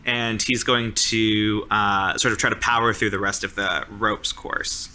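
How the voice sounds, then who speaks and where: A man's voice: on the low side, level tone, a little slow, bright, forceful tone. A man improvising narration as a player in a tabletop roleplaying game, in a casual, informal way. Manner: informal, peppered with "like" and "sort of".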